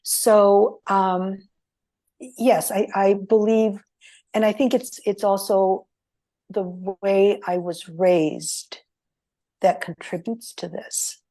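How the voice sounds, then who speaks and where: A woman whose first language is Greek, speaking as an interview guest in a reflective, halting storytelling way. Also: mid-range voice, steady tone, dark tone. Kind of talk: interview conversation.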